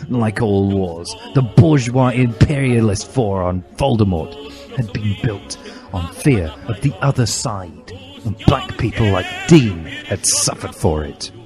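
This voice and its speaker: A man's voice: on the low side, expressive, measured pace. A man reading a story aloud as a mock-serious, bombastic dramatic narrator. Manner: theatrical, bombastic. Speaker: reader of a fan fiction story. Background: music.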